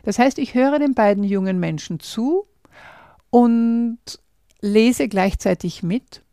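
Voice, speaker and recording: A woman hosting a language-learning podcast, calmly walking the listener through a method step by step and speaking to them directly. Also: a little high, slow, clear, resonant tone, no echo, clean studio sound. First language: German. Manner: calm and explanatory, walks through numbered steps.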